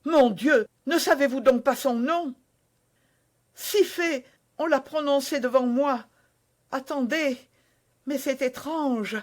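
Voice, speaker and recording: Reader playing a young woman in a storybook, high, pleading, breathless cries, unhurried. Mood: panicked. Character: young woman, loving, frightened, dying of poison. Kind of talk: audiobook character voice.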